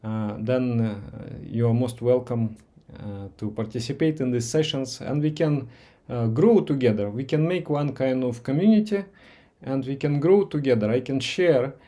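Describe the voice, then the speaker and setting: A man whose first language is Russian, teaching meditation in a live session with viewers, speaking slowly and gently with many pauses, fillers and repeated words. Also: mid-range voice.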